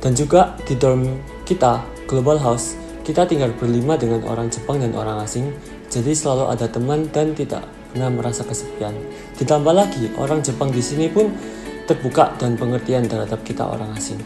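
A man in his twenties from Indonesia, an international student who speaks softly and unhurriedly. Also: mid-range voice, fast talker, music in the background. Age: 20s.

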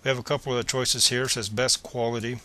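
A man's voice: medium pitch, level tone, fast, bright, forceful tone. A man presenting a step-by-step screencast tutorial in a plain, methodical, instructional manner.

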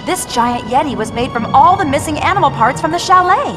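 Cartoon game heroine: earnest young female sleuth, high lively voice, loud music behind. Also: fairly quick. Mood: accusing.

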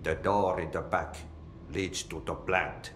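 An actor playing an eccentric older Finnish janitor in a video game, with folksy, cryptic talk and a Finnish accent. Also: male voice, deep voice, fairly even intonation, takes it slowly, a bit bright, roomy sound, slight background noise. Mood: breezy, reassuring. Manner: folksy idioms, muttered Finnish curses. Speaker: janitor character in a supernatural action game. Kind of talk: video game cutscene acting.

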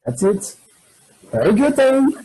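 Husky high-voiced Brazilian teacher. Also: male voice, very animated, measured pace, mellow. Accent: Brazilian Portuguese. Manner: encouraging, switches to prompting students. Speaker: English teacher and host. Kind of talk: hosting an online class. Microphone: video call.

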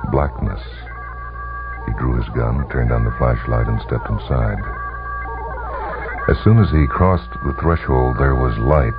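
A man reading a short story for radio in a measured, deliberate, suspenseful way. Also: a bass voice. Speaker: narrator. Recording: tinny, band-limited vintage radio broadcast. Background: music under the voice.

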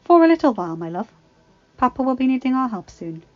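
Woman playing a protective mother, high and emphatic. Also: big pitch swings, fast talker, no room sound, muffled recording, faint music behind. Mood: proud, loving. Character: loving, nervous but protective French mother.

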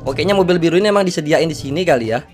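A young man speaks in a fairly high, slightly hoarse voice. He commentates casually on a game, full of slangy exclamations. Faint music plays behind him. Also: expressive, very fast. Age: young adult. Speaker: gaming video creator.

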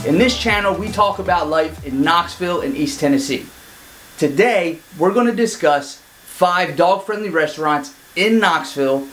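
A man in his forties, a real estate agent hosting his channel, chatting to camera with lively hand gestures in an indoor studio set. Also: on the high side, moderate pace, soft background music. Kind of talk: scripted to-camera presentation.

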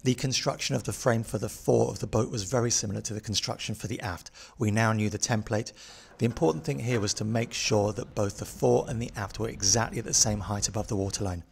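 A middle-aged man who co-hosts a sailing vlog as skipper, talking in a relaxed, easygoing way and narrating the boat work. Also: on the low side, fast, gravelly, few pauses, husky. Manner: relaxed, easygoing, trails off mid-sentence. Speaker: skipper and co-host. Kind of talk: casual chat and voice-over narration.

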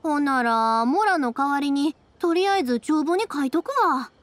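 An actress playing a cheerful, big-hearted young fireworks maker in an anime game, speaking with a Kansai accent. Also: female voice, high voice, moderate pace, no echo. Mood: earnest. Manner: lively, friendly, expressive dialect talk. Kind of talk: Japanese anime game voice acting.

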